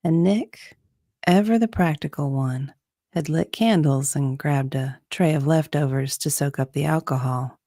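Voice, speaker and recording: A woman's voice: low voice, measured pace, warm tone, no room sound, studio quality. A woman narrating a romance story in a sultry, dramatic style.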